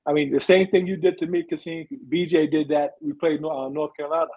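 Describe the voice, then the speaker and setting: Husky-voiced male football coach explaining. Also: fairly high pitch, steady tone, quick pace. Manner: explanatory, punctuates points with "right" and "you know". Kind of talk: conversational interview advice. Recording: dull, lo-fi sound.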